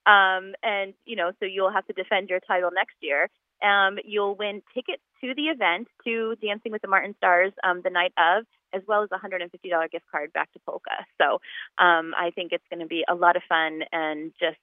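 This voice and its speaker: Convidada nascida na Polônia, conversa casual por telefone, linha fina e abafada, cheia de "um" e recomeços. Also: female voice, on the low side, fairly even intonation, brisk, no room sound. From Poland. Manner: chatty, with many ums and restarts. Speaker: guest fundraiser organizer. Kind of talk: casual radio interview by phone. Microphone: phone line. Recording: tinny phone line.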